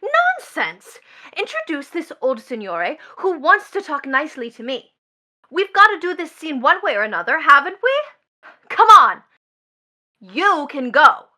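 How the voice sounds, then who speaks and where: Actress as piercing, high-voiced defiant young woman. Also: very animated, normal speed, sharp tone, exaggerated voice. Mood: commanding. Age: young adult. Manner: imperious, mocking, melodramatic. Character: defiant, scornful, impatient young woman with theatrical intensity. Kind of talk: stage play acting.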